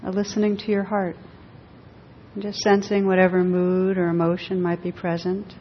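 A woman leading a guided meditation for a group, speaking gently in short, unhurried phrases with long pauses. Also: monotone. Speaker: meditation teacher. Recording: dull echoing hall recording, faint rumbling noise.

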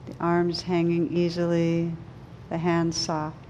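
A woman leading a guided meditation, speaking gently and soothingly in slow, unhurried phrases with pauses. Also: fairly low pitch, monotone, slight background noise. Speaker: meditation teacher.

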